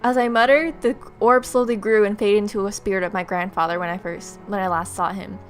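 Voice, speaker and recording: A woman's voice: mid-range voice, fairly quick. A woman hosting a let's play, reading game narration aloud dramatically with casual asides, music behind her. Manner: dramatic, expressive read-aloud.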